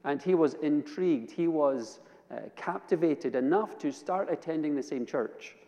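Scottish preacher in a reverberant hall. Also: male voice, fairly high pitch, animated, moderate pace, mellow. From Edinburgh, Scotland. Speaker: guest preacher. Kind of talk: personal testimony sermon to a congregation. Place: large indoor church or hall. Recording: reverberant hall, long echo.

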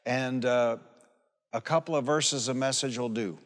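A man's voice: medium pitch, steady tone, unhurried. Older preacher man in a reverberant hall. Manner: folksy, emphatic, repeats phrases for emphasis. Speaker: pastor preaching. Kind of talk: sermon with personal anecdotes and calls for amen. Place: large hall or church, preaching to a congregation. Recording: long hall reverb on live sermon.

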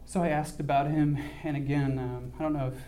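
Earnest man with a dark, mellow voice. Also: on the high side, fairly even intonation, slight background noise. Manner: earnest, halting with many ums. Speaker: mission trip speaker. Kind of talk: church mission report, casual.

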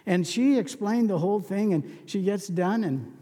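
A man's voice: high voice, lively intonation, normal speed, dark tone, big-room sound. A man preaching a sermon as pastor, telling stories in a folksy, conversational way.

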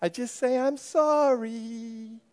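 Sketch role: a scolding fire-and-brimstone preacher, played by a man, high-pitched and wildly animated delivery. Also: measured pace. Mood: fiery. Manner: preachy, scolding, swings to shouting. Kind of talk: church comedy sketch.

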